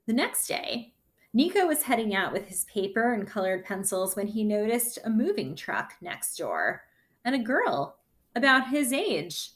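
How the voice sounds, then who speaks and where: A woman giving an expressive children's picture book read-aloud in a deliberate, explanatory manner, as if to young listeners. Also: fairly high pitch. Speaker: story reader.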